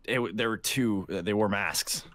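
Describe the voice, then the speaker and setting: A man's voice: fairly low pitch, normal speed, fairly bright tone. A man improvising game roleplay dialogue in a hesitant, stammering way.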